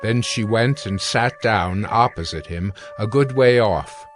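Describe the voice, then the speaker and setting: A man narrating scripture from a script in a formal, measured way. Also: lowish voice. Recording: background music under the voice.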